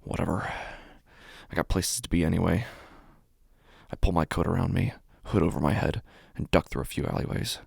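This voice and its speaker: An actor playing a menacing, nihilistic street tough in a first-person horror podcast monologue, brooding and deliberate, with a gravelly voice and heavy pauses. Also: male voice, deep voice, dry recording, clean studio sound, exaggerated voice. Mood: bitter, dismissive. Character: menacing, drugged, nihilistic male street tough with violent urges. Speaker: horror fiction podcast narrator. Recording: music under the voice. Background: background music.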